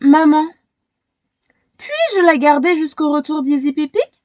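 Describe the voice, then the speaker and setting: An earnest little girl from a storybook, acted out by a woman reader. The voice is high and swings widely, emphatic and pleading, in a dry recording. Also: very animated, takes it slowly, darkish tone, dry recording, highs cut off, full character voice. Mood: gentle, hopeful. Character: excited, earnest little girl protecting a hen.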